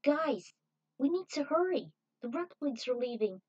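Eager young heroine read by a female narrator, lifted pitch, emphatic, storybook character. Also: very animated, measured pace, a little mellow, highs cut off, heavily acted. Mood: urgent.

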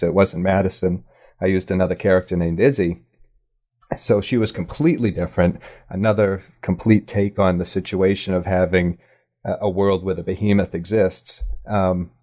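Male podcast guest, low-pitched, thoughtful stop-and-start talker in a casual interview. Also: darkish tone. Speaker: podcast guest, audio drama writer and producer.

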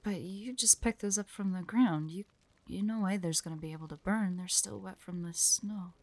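A woman voicing a guarded, proud young hunter in an audio roleplay, terse and prickly, often dropping into hushed, breathy asides. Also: medium pitch, normal speed, no room sound, lightly acted. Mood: wary, puzzled. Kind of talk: dramatic audio roleplay, addressed to an unheard listener.

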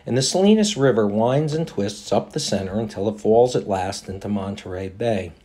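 An older American man reading for a voice-over demo in a measured, articulate way. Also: on the low side, a little mellow. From New York State, United States. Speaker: voice actor.

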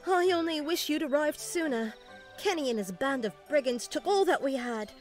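A woman's voice: high-pitched, lively intonation, moderate pace, soft background music. An actress playing a distressed, folksy village woman telling of bandit raids in a fantasy game. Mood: plaintive, aggrieved.

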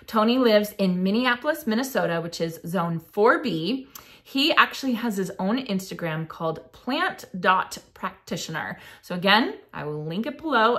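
Enthusiastic woman host, somewhat low voice. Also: fairly bright tone. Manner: gushing, enthusiastic, exclamations with rising pitch. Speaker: gardening channel host. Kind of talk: casual chat reading viewer submissions. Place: home office, indoors.

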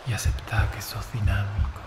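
A man leads a guided meditation in a calm, hushed, breathy way, in short phrases with long pauses. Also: on the low side, loud music behind the voice. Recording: echoey long reverb with clear noise.

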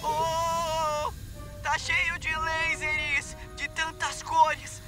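Casting: literal-minded young cartoon bike, slow, forceful high voice. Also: female voice, little pitch movement, over loud music. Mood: puzzled alarm. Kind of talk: children's cartoon dub.

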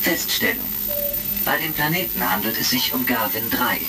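An actor playing a literal-minded, formal robot sidekick in a German sci-fi audio drama, announcing each statement with stilted precision. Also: male voice, medium pitch, animated, normal speed, natural voice. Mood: formal, factual. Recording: retro crackly audio drama recording with music.

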